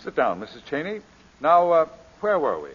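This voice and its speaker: An actor playing a formal, diplomatic gentleman in an old radio drama, husky and courteous. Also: a little high, sing-song. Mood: courteously formal. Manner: pompous, measured, courteous. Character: formal, diplomatic English gentleman, suavely presiding. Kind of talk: 1940s radio drama acting. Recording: dull lo-fi old radio recording.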